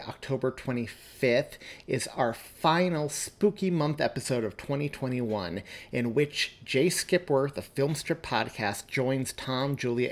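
Enthusiastic man hosting a podcast. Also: medium pitch. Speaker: podcast host. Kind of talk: upbeat scripted podcast announcements.

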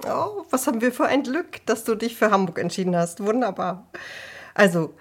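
A woman's voice: on the high side, expressive, normal speed, husky. A woman hosting an interview, speaking in an enthusiastic, effusive way. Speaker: interview host.

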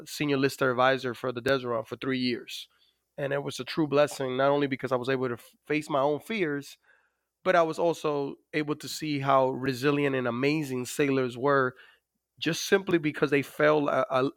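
Dominican-accented man, smooth and dry. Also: medium pitch, fairly bright tone, no echo. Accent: Dominican Spanish. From Dominican Republic. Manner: halting, many fillers. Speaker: guest, Navy master chief. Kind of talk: interview storytelling.

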